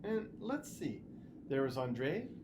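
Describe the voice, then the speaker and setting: A storybook reader playing a kindly, reassuring father who chats gently about his own youth. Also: medium pitch, sing-song, slow, background noise.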